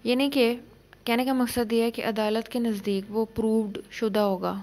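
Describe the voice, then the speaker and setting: A woman lecturer explaining law for exam students, reading out legal definitions slowly and then explaining them in plain terms. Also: fairly high pitch, level tone, a little mellow.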